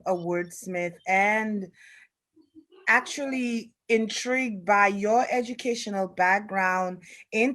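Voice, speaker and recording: A woman's voice: on the high side, a bit bright. A middle-aged woman hosting a community radio interview, asking curious questions with a mother's asides. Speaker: radio host.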